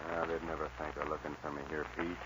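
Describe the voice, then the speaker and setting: An actor playing a cool, threatening young outlaw with a Western drawl, terse and deliberate, in 1940s radio style. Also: male voice, extremely low pitch, little pitch movement. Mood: calm, weary. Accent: American Western drawl. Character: cool, menacing young male outlaw gunfighter. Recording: muffled, noisy old radio recording.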